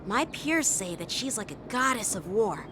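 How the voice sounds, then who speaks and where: A woman's voice: medium pitch, normal speed, background noise, natural voice. A woman voicing an eager, chatty teenage boy swordsman in a game. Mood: cheerful. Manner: bright and chatty, animated. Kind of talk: game voice acting. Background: game soundtrack music.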